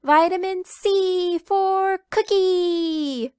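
A woman voicing an excitable little chicken in a picture book read aloud, squealing and sing-song. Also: extremely high pitch, extremely slow, no echo, clean studio sound, voice pushed up, heavily acted. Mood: excited. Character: excitable little girl chicken, chatty and pushy.

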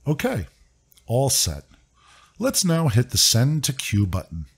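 A man narrating a scripted software walkthrough in a clear, upbeat, step-by-step instructive way. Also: medium pitch, wildly swooping pitch, takes it slowly. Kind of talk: scripted screen-recording walkthrough.

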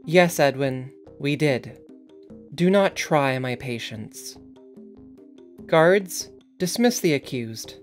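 A woman playing stern, cold council overseers in an audio drama, severe and deliberate with an authoritative manner. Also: extremely low pitch, expressive, soft background music, full character voice. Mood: cold, impatient. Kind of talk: scripted audio drama interrogation.

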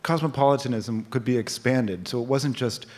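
A man in his forties moderating a stage conversation at a book festival, asking curious, halting questions into a stand mic. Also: mid-range voice, darkish tone. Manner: halting, many fillers and restarts, curious. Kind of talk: onstage literary conversation. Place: theater stage at a book festival, live audience.

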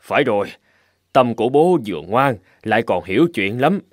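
A man voicing a gentle, reassuring father in a dubbed cartoon. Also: mid-range voice, animated, normal speed, no room sound, clean studio sound, lightly acted. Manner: warm, kindly, reassuring. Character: gentle, hardworking young father. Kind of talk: cartoon dubbing.

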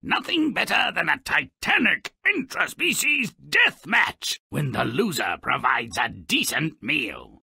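A man voicing a mischievous, grumpy little creature as a sardonic video game narrator, with a gravelly, raspy voice and a mocking, grandiloquent manner. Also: medium pitch, bright, forceful tone, dry recording, clean studio sound, full character voice. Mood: gleeful. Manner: mocking, grandiloquent, relishing misfortune.

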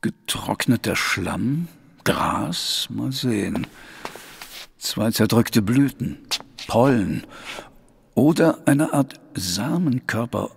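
A gravelly, sceptical police inspector. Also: male voice, mid-range voice, lively intonation, slow, full character voice. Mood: examining, muttering. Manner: doubting, blunt. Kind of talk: audio drama acting.

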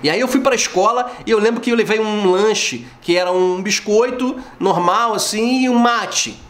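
A man in his forties from Brazil with a Rio de Janeiro accent, vlogging casually to camera at home, slangy and animated. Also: high voice, moderate pace. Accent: Rio de Janeiro Portuguese. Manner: slangy, animated storytelling, acts out quoted voices. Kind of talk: casual opinion vlog. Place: home living room, indoors. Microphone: camera at close range. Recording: roomy echo in a home room.

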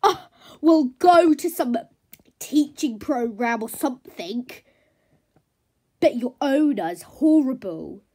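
A woman's voice: high-pitched, expressive, takes it slowly, warm tone, full character voice. A woman voicing an outspoken, protective mare in a horse story, with a blunt, sarcastic, scolding manner. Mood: mocking.

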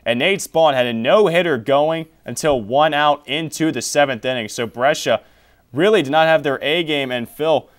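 A man's voice: on the high side, moderate pace. A young man hosting a college sports show from a small TV studio, running through scores and stats before handing questions to his analyst. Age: young adult. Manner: reads scores and stats, then hands off questions.

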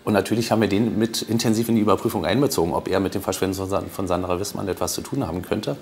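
A man's voice: low voice, steady tone, racing, darkish tone. A man giving an interview as a police investigator, in formal official wording with some hesitation. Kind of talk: interview statement. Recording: faint ambient background noise.

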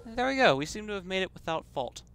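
A man's voice: sits high, sing-song, fast talker, slight background noise. Chatty man narrating his game play. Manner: chatty, self-deprecating, thinking aloud. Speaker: let's play gamer. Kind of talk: casual game commentary. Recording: loud game music behind. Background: loud game music.

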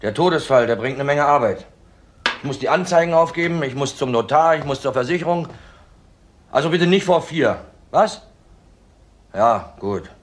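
A man's voice: medium pitch, animated, normal speed, long pauses, natural voice. Actor as brusque, harried man. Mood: harried, impatient. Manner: impatient, listing chores. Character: brusque, harried male widower under suspicion. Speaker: actor in a crime drama. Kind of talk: German crime drama acting.

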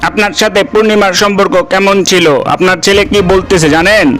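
Man as a high-pitched, inquisitive cartoon detective. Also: fairly even intonation, a little fast, fairly bright tone. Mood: probing. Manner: questioning. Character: inquisitive, puzzled senior male detective. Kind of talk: cartoon voice acting.

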